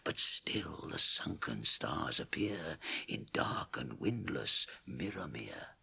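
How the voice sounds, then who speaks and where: An actor playing a proud, solemn dwarf in a radio drama, grave and declamatory, with a gravelly, husky voice. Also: male voice, very deep voice, big pitch swings, slow, sharp tone, in a whisper, exaggerated voice. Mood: solemn, mournful chanting. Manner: grave, declamatory, chanting old verse. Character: proud, solemn dwarf mourning his people's lost kingdom. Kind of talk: 1980s radio drama acting.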